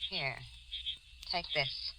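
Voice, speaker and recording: A woman's voice: low voice, extremely slow. An actress in a 1940s radio thriller playing a cold, scheming femme fatale who insistently coaxes her lover toward crime. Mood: coldly coaxing. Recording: noisy old radio recording.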